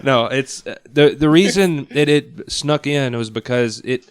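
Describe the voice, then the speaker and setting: A man speaking casually as a guest on a podcast, halting now and then as he thinks aloud. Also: mid-range voice. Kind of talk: casual chat. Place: podcast studio.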